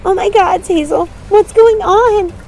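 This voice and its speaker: A woman voicing an excitable, frightened young witch sister in a storybook, urgent and exclaiming. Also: very high-pitched, a little slow, slight background noise, pitched above the performer's own voice. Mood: panicked urgency.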